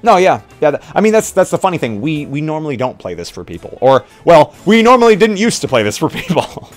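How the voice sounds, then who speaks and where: A man's voice: fairly high pitch, very animated, a little fast. A man chatting casually on a livestream, rambling and hesitant, often repeating his phrases. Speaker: streamer and roleplay player. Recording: music playing behind. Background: music.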